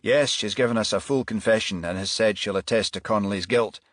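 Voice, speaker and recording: A man's voice: on the low side, a bit bright, no room sound, studio quality. Man acting a dutiful junior police officer. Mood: eager, businesslike. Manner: brisk, deferential. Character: dutiful male junior police officer reporting to his boss.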